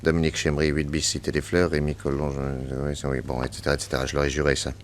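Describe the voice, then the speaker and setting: An actor playing a shrewd French detective, smooth, probing and brisk, in a 1960s radio serial. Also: male voice, rumbling low, level tone. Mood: reading, businesslike.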